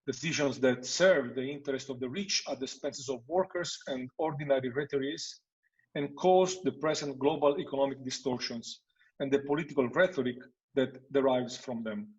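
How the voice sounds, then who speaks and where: A male host introducing a guest formally, pausing often with fillers. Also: medium pitch, husky. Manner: formal, many pauses with uh. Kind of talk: formal introduction and interview questions. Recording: dull lo-fi sound.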